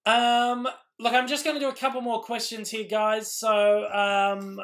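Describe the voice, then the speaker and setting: A young Australian man hosting a casual live-chat Q&A, rambling with many ums, his voice going hoarse. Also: high voice, flat delivery, unhurried, a bit bright. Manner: rambling, lots of ums and restarts. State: losing his voice. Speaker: YouTube host answering live chat.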